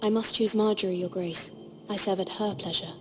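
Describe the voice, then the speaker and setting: An actress playing a dutiful young noble handmaiden in a fantasy game, speaking with guarded, careful deference. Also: female voice, mid-range voice, fairly even intonation, fairly quick, soft background music, close to an everyday voice. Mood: earnest restraint. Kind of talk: dramatic fantasy game acting.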